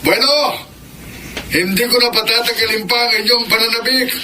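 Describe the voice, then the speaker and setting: A man acting a showy TV contest host in a radio drama, announcing grandly with drawn-out suspense. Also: extremely high pitch, steady tone. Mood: suspenseful. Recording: noisy broadcast recording.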